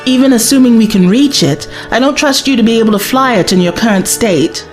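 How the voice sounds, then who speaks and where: Girl acting cheeky young sidekick woman, highish bouncy voice, teasing, sci-fi audio play with light music behind. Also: a little fast, lightly acted. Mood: exasperated. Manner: expressive, teasing. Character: spirited, cheeky young woman companion from the twenty-first century.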